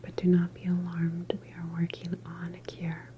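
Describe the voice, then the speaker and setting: A woman performing an ASMR medical roleplay as a calm government doctor, speaking in a deliberate, reassuring clinical manner. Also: fairly low pitch, dull audio, noisy background. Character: calm female government doctor examining a patient.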